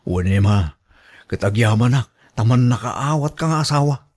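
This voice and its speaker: A man's voice: mid-range voice, animated, normal speed, a little mellow, close to an everyday voice. A man playing an earnest, reassuring grown son and new husband in an Ilocano radio drama. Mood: grateful, placating.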